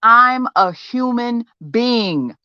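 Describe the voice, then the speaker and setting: A woman's voice: a little high, expressive, very slow, bright, forceful tone, clear, resonant tone, no echo, full character voice. Woman performing desperate female patient, defiant, emphatic, dramatic monologue, dry close sound, dull lo-fi call audio. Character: desperate depressed woman angrily confronting her therapist.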